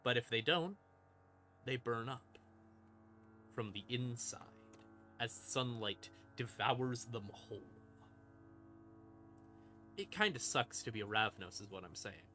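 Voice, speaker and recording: Slow, bright-voiced man explaining lore. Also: medium pitch, lively intonation. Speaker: streamer host explaining game lore. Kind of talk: casual lore explainer monologue. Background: ambient music in the background.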